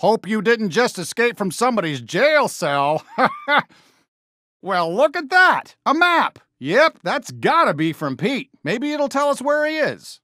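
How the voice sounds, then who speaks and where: Casting: friendly, excitable cartoon cowboy sheriff for a game, American, folksy drawl, bright and forceful, swooping pitch. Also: male voice, unhurried, no echo, clean studio sound, heavily acted. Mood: cheerful, joking. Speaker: voice actor in a video game. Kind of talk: animated game character voice lines.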